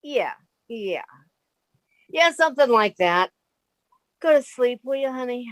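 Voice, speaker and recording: A woman's voice: fairly high pitch, expressive, a little slow, rough recording. A woman playing a sensible, exasperated young roommate in a radio comedy. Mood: weary, exasperated. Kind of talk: 1950s radio sitcom reading.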